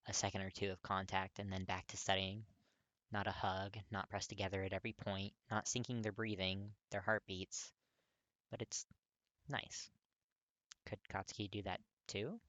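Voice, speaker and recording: A man narrates a story expressively. His voice sits fairly low but has a bright, crisp edge, and the recording is very dry. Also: dry recording. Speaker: audiobook-style fan fiction reader.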